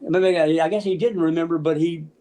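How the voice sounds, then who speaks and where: An American man in his seventies casually telling anecdotes in an animated, halting way, acting out the dialogue. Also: on the high side. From Memphis, United States. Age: 70s. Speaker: interview guest, musician. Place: small room at home, on a video call.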